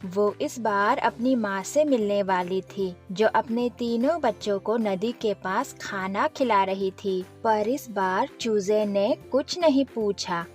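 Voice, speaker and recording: A woman's voice: mid-range voice, darkish tone. A woman narrating a scripted children's story as its storyteller.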